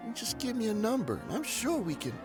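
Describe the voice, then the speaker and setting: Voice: medium pitch, sing-song, fast, lightly acted. Someone playing a shifty trader guy begging his way out, voice all over the place, music playing. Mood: pleading. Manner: wheedling, evasive. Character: shifty, pleading desert delivery trader. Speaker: game character, a merchant. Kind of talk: video game voice acting. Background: game music.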